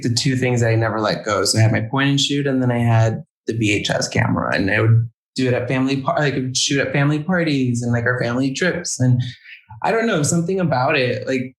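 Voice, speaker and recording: A young man talking as a podcast guest, hesitant and halting, restarting his sentences often. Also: mid-range voice, poor audio. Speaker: guest photographer. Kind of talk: casual interview chat.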